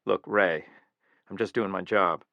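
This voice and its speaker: A man's voice: low-pitched, fairly even intonation, measured pace, vocal fry, no echo, highs cut off. A man voicing a frightened male security chief who pleads with a voice that cracks upward. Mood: pleading.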